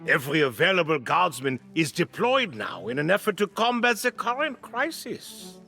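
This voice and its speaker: Pompous, high-voiced captain, wildly animated. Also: male voice, normal speed, vocal fry, soft background music, exaggerated voice. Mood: courteous, evasive. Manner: pompous, flowery formal speech. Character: pompous, dandyish male town guard captain, cheerfully self-important. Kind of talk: theatrical video game acting.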